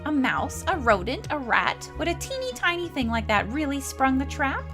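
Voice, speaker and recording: A woman's voice: high-pitched, sing-song, moderate pace, over music, voice pushed up. A woman voicing a stocky, sceptical male goblin captain in a visual novel, questioning incredulously.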